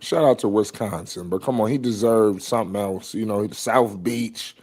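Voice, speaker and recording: Halting, casual man hosting sports talk. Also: lowish voice, a little mellow. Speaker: sports stream host.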